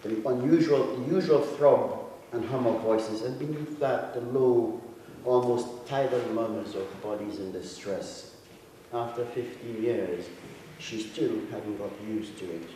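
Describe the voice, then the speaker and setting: A man giving a measured, deliberate reading of literary prose, with dramatic phrasing and pauses, as an author reading a short story. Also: mid-range voice, warm tone. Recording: echoey hall, distant mic, faint noise.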